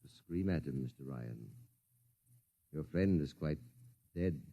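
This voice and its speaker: An actor playing a coldly polite, condescending Martian invasion agent in a 1950s radio drama. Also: male voice, extremely low pitch, expressive, vocal fry, no room sound, dull audio, full character voice. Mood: cool, quiet. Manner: suave, condescending, unhurried menace.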